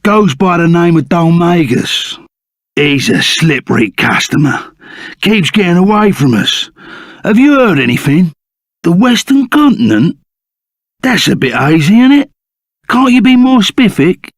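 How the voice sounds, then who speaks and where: Casting: a gruff, loyal Cockney rogue for a game, pitched fairly high with gravelly creak, blunt and slangy. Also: male voice, big pitch swings, measured pace, no room sound, studio quality, exaggerated voice. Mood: brisk, blunt. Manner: rough colloquial slang, blunt and emphatic. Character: gruff, loyal reformed bandit sidekick, plain-spoken and excitable. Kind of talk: video game character voice acting.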